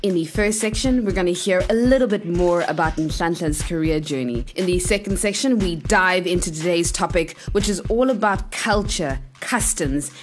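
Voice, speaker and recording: A woman hosting an interview podcast, introducing its sections and asking friendly, attentive questions. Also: lowish voice. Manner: friendly, attentive, frequent back-channel murmurs. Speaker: podcast host.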